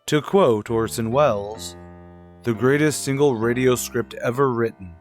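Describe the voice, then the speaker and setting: A man introducing a suspense radio play as its host, in grandiose, deliberate, dramatic scripted narration. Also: mid-range voice, soft background music.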